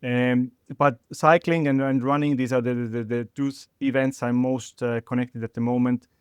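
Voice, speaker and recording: Man, smooth clear voice. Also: medium pitch, flat delivery, dry recording, studio quality. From Estonia. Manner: halting, with many fillers and restarts. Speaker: sports chiropractor guest. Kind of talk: podcast interview.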